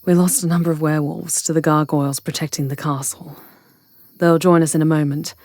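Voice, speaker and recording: Casting: woman as witch commander, low-pitched, practical and conversational, dry close sound, fantasy ASMR roleplay. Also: level tone, brisk, darkish tone, no room sound. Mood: brisk concern. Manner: plain-spoken, conversational, explanatory. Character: matter-of-fact witch leader, ally of a vampire hunter.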